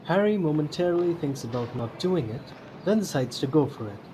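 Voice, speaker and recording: A man's voice: a little high, expressive, a little fast. A man reading scripted narration for an audio drama. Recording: slightly noisy home mic.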